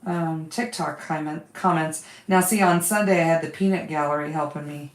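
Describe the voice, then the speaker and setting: A woman's voice: low voice, fairly even intonation, moderate pace, echoey room. A woman who has lost her voice, hosting a quilting livestream, chatting casually with viewers and reading their comments while she sews.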